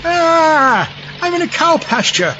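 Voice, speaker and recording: A man's voice: very high voice, big pitch swings, takes it slowly, heavily acted. A man playing a giddy, childish and reckless mad scientist with theatrical petulance in a comic audio drama. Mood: giddy excitement. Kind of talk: comedic audio drama acting. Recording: dull audio, noisy vehicle ambience.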